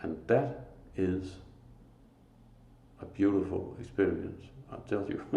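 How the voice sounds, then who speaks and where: A man with a Danish accent giving a slow, earnest, informal spiritual talk, pausing often. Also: low-pitched, creaky, mellow, dull audio. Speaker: lay preacher. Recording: echoey room.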